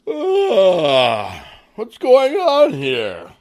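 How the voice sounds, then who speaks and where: Whiny, bewildered householder played by a man for radio mystery: highish, slow, very animated. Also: noisy background, full character voice. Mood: bewildered, relieved. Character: bewildered, whiny male householder in his bedclothes.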